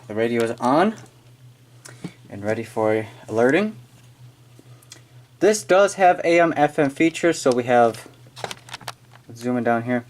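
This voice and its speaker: An American man giving a casual, halting, off-the-cuff hands-on product demonstration as he unboxes it on camera. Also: medium pitch. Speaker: amateur unboxing video maker. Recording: noisy amateur room recording with clicking.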